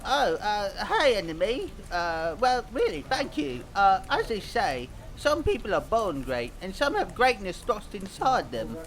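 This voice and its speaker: A man plays a young cartoon band singer with a notably high voice. He is earnest and a bit dim, rambling hesitantly and naively as he answers interview questions. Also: sing-song, a little slow, few pauses, fairly bright tone, slight background noise, heavily acted. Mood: naive, pleased. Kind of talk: scripted mock interview, fan dub.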